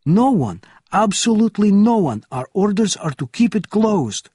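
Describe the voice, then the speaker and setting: A man voicing a flustered, helpless army captain in an audiobook thriller, protesting loudly and with agitation. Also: sits high, very animated, moderate pace, husky, dry recording, clean studio sound, pitched above the performer's own voice, exaggerated voice.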